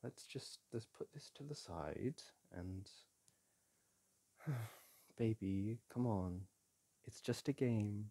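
A man playing a playful boyfriend in an intimate audio roleplay, chatting casually, teasing and coaxing the listener in a gentle, halting way. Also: lowish voice. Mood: soothing. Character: playful, teasing, soothing boyfriend at a board game.

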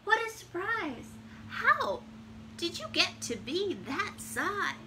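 A very high, frightened little boy from a children's storybook, acted out by a woman. He exclaims anxiously as he searches for a lost teddy. There is clear noise behind the voice. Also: very animated, slow, exaggerated voice. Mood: amazed. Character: Eddie, small scared boy hunting his lost teddy.

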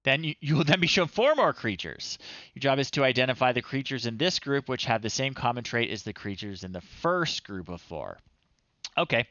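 Middle aged guy streaming retro games, really varied pitch, dry close sound, casual and wry. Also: lively intonation, fairly quick, sharp tone, no echo, lo-fi sound. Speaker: retro game streamer, host. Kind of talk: casual live game-stream commentary, reading on-screen text aloud. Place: small indoor room, livestream setup.

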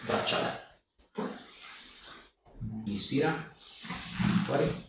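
A man in his thirties working as a chiropractor, giving short, reassuring instructions and questions during hands-on treatment. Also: very slow. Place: small bare treatment room indoors. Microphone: camera a few meters away. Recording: very echoey room, distant mic, clear noise.

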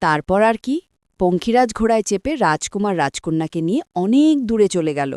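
A woman voicing a gentle, indulgent elderly grandmother who tells fairy tales in a children's cartoon. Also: mid-range voice, animated, fairly quick, clear, resonant tone, dry recording, natural voice.

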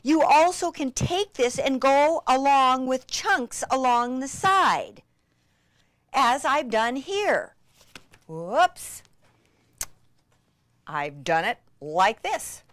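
A woman teaches a craft with gushing, breathless delight. Her intonation swoops up and down while the pace stays leisurely, often dipping into breathy, near-whispered asides. The sound is dry and close. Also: sits high, extremely slow, long pauses, no room sound. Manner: excitable, gushing, with breathy gasps and exclamations. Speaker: craft instructor. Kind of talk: enthusiastic hands-on craft demonstration.

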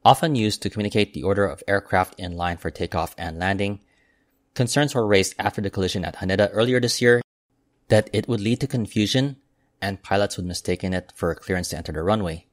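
A man hosting an aviation news channel, reading a scripted report in a steady, measured way as a voice-over. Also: on the low side, dry recording, studio quality. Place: voice-over, not recorded in the pictured airport.

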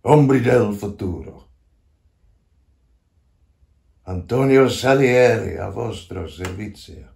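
An actor playing an aged, grandiose Italian composer near death, confiding in a theatrical stage monologue with a creaky voice and self-mocking manner. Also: male voice, lowish voice, measured pace. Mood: grand summoning. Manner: theatrical, declamatory, swinging to hushed asides.

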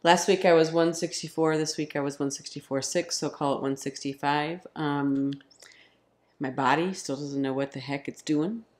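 Woman in her forties, slight rasp, relaxed couch vlog chat. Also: low voice, normal speed. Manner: relaxed, chatty storyteller with ums. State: slightly raspy from a cold. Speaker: vlog co-host. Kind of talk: casual chat. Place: living room, on a couch. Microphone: camera in front.

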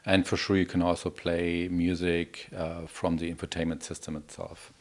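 A middle-aged man giving a live product demo in a halting, explanatory manner. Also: sits low, flat delivery. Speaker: product demonstrator.

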